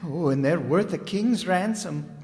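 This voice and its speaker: An actor playing a thin, impeccably mannered rug dealer, formal and fawning, in a radio detective drama. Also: male voice, a little high, animated, measured pace, a little mellow. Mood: eager, fawning. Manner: unctuous, formal, deferential. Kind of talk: old-time radio detective drama acting. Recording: reverberant hall recording.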